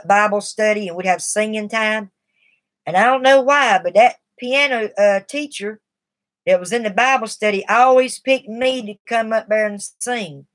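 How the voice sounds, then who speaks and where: A middle-aged woman, talking earnestly and at length on a live stream while shaky from nicotine withdrawal. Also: medium pitch. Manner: rambling, earnest and confiding. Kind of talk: casual live stream talk.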